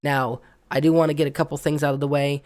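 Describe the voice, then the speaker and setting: A man hosting an unscripted gaming opinion vlog, hoarse and raspy from being sick, rambling and stumbling with lots of ums. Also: a little high, little pitch movement, fast, a little mellow. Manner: looks around rather than at the camera. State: hoarse from illness. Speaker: gaming video host.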